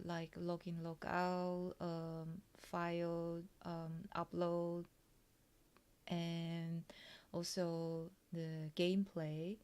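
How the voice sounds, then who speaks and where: A woman, a Mandarin speaker, talking softly and hesitantly through a coding tutorial with many fillers and pauses. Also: fairly low pitch, clear, resonant tone. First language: Taiwanese Mandarin. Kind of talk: soft-spoken ASMR coding walkthrough.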